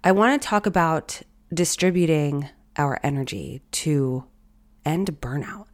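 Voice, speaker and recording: Low raspy-voiced woman podcaster. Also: a little slow. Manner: reflective, encouraging, speaks straight to listeners. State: hoarse from allergies or illness. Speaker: podcast host. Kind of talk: solo podcast talk.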